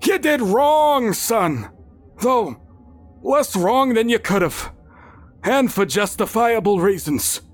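A grave, bearded old father, delivering judgment in slow, weighty phrases that lift into a strained, high register. His melody swings widely. Faint music plays underneath. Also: sing-song, heavily acted. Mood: solemn. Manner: slow, solemn pronouncements broken by coughs and hesitations. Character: stern older father, bearded, measured and judgmental. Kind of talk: audiobook character voice.

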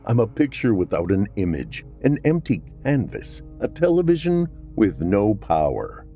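A man's voice: fairly low pitch, sing-song, normal speed, mellow, faint music behind, voice pushed up. A man voicing a brooding, self-pitying vampire man three centuries old, melodramatic and anguished.

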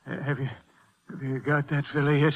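An actor playing a weary but stubborn old shopkeeper, gravely weak, speaking haltingly in a 1950s western radio drama. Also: male voice, fairly high pitch, monotone, slight background noise, close to an everyday voice. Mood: weak, anxious. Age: older. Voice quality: husky, faint. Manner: halting, labored speech with long pauses. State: weak, exhausted. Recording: muffled, phone-like old radio recording.

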